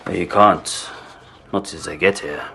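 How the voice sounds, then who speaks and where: A man's voice: deep voice, a little slow, vocal fry, bright, forceful tone, breathy edge, exaggerated voice. An actor playing a stolid, unhelpful port official, terse in his refusals, in an audio drama. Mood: bored, obstructive. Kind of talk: radio drama acting.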